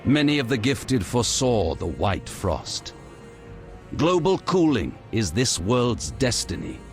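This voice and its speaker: An actor playing a zealous, prophetic leader of an order in a fantasy game, a grandiose villain with a solemn, declamatory manner. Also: male voice, mid-range voice, big pitch swings, slow. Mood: solemn conviction. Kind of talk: dramatic fantasy video game acting. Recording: music behind the voice.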